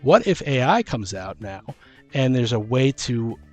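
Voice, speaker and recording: A man's voice: medium pitch, sing-song, unhurried, a little mellow, faint music behind. A man in a casual podcast discussion, talking informally and arguing his point through hypothetical examples.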